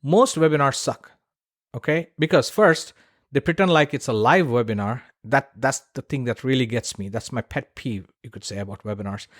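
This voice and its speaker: A man's voice: medium pitch, normal speed, no room sound, studio quality. A man hosting a solo podcast, giving opinionated advice in a blunt, informal and emphatic way. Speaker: podcast host.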